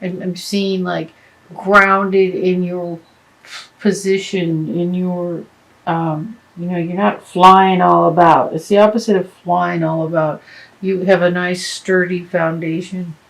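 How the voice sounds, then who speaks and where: A woman lays out a tarot reading at an unhurried, deliberate pace, her voice sitting slightly low. She is losing her voice, and she hesitates often, circling back with fillers and restarts as she works through each card. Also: level tone, poor audio. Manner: halting, many fillers and restarts. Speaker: tarot reader.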